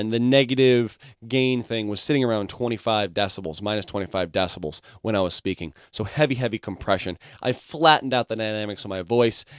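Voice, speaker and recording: A man with a mid-pitched voice explains music software like an instructor. His delivery is informal and explanatory, working through the steps one by one. The sound is dry, with very little room in it. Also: no echo. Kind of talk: software tutorial, explaining step by step.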